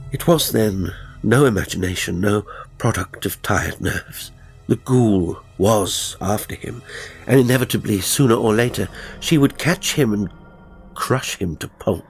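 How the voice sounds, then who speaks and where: A man narrating a horror story in a measured, ominous, theatrical way, with a gravelly, husky voice. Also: on the low side. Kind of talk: dramatic scripted horror narration. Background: faint music.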